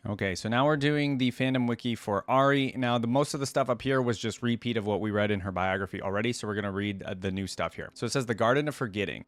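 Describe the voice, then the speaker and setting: A man's voice: lowish voice, dry recording. A man hosting a reaction video, casually commenting and thinking aloud as he talks to his viewers. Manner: informal, thinks aloud, addresses viewers as guys.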